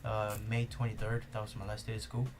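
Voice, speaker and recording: Young guy w spanish accent rambling on a podcast, lowish voice, music playing behind. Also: completely flat delivery, fairly quick. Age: young adult. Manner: rambling, many fillers and restarts. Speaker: podcast host. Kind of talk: casual chat.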